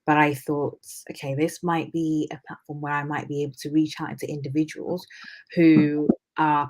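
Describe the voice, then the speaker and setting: A young woman speaks as an interview guest, her voice notably low for a woman. She answers in a measured, thoughtful way, weighing her words.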